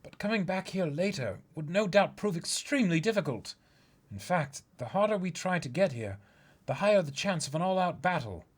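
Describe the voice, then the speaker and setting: Man putting on an earnest, high-voiced skeleton pirate. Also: lively intonation, normal speed, husky. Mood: earnest, urgent. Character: eager, high-voiced adult skeleton musician pirate planning a daring mission.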